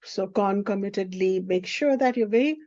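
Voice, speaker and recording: A woman whose first language is Hindi, teaching a spiritual class in an unhurried way and often checking in with the listener. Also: mid-range voice, warm tone, no echo. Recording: dull lo-fi recording.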